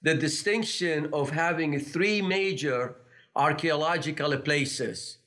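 A man's voice: fairly high pitch, slow, fairly bright tone. A man from Iraq, a seminary professor, explaining things in a friendly way as a guest on a video call. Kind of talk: interview answers over a video call.

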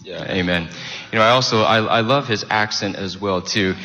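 A man hosting at church, interviewing a guest and then leading a prayer. He talks eagerly and drops in frequent amens, with a bright, forward voice. Long hall reverb surrounds him. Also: fairly low pitch, normal speed, dull audio, a little hiss or hum. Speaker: church host.

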